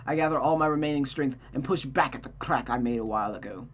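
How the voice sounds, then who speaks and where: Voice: medium pitch, fast, slight background noise. A streamer reading a visual novel aloud expressively, with dramatic narration and casual asides. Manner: expressive, often restarts misread sentences.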